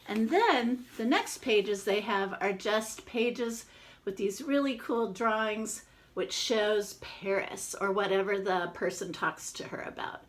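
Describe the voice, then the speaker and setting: A woman reading a picture book aloud to children, expressive, with casual chatty asides to explain it. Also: mid-range voice, slow pace. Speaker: children's read-aloud presenter.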